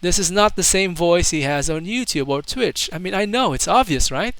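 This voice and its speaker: A man's voice: high-pitched, animated, normal speed, a bit bright, clean tone, dry recording. A man doing casual video commentary, reading out online comments in an amused, mocking way.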